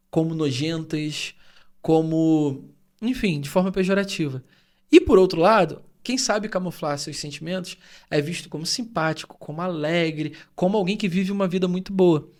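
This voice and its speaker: Earnest high-voiced man. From Rio de Janeiro state, Brazil. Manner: earnest, thoughtful, explains at length. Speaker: podcast co-host. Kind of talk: reflective podcast conversation.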